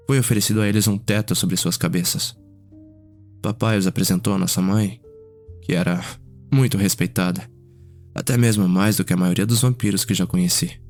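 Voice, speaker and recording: A man performs a reflective male vampire in an ASMR story, speaking with a Brazilian accent. His voice is low and his telling measured and courteous, over faint music. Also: steady tone, darkish tone. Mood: solemn storytelling.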